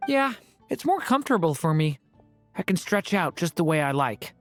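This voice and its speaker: A man's voice: on the high side, big pitch swings, moderate pace, soft background music. A man playing a mild, earnest young horned boy who was once a dragon, in a fantasy video game. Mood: earnest, sheepish.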